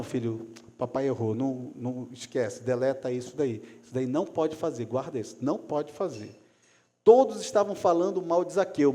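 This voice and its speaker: A man preaching a sermon, swinging between loud calls and quiet asides. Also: a little high. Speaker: pastor. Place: church hall. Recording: long church hall reverb.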